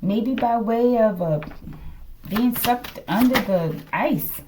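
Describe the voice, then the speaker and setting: A woman's voice: a little high, takes it slowly, recorded from a distance, background noise. An American woman giving a casual, improvised tarot reading to viewers in a musing way, often checking in with "okay". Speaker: tarot reader.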